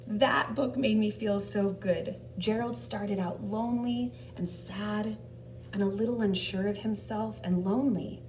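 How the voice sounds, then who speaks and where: A woman narrates a picture book for young children at an unhurried pace with expressive delivery. She sounds slightly away from the microphone, with some background noise. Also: mid-range voice. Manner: expressive, deliberate, pauses to ask questions. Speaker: children's read-aloud host. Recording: distant mic, background noise.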